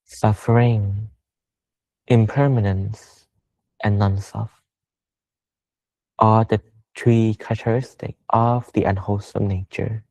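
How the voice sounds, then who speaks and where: A man teaching a guided Buddhist meditation, speaking slowly and measuredly in short phrases with pauses. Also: fairly low pitch, little pitch movement, darkish tone, dry recording, poor audio. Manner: slow, measured, pausing between short phrases.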